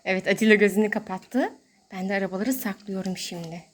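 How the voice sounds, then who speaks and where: A woman's voice: mid-range voice, quick pace. A woman hosting toy play for young children as a mother, talking in a sing-song, exclaiming way and drawing out her words.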